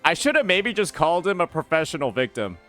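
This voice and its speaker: A young man with a high, bright voice picks apart a clip with incredulous, mocking asides. His delivery is casual commentary. Faint music runs beneath. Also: sing-song, fast. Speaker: commentary youtuber. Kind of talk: reaction commentary.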